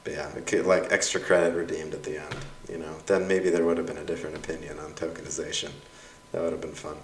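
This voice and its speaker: A deep-voiced young man, a university instructor whose first language was Russian, reads his course evaluations aloud and riffs on them with wry, self-mocking asides. He speaks casually and loosely, with plenty of fillers. The sound is roomy and distant from the mic. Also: flat delivery, fast, a little hiss or hum.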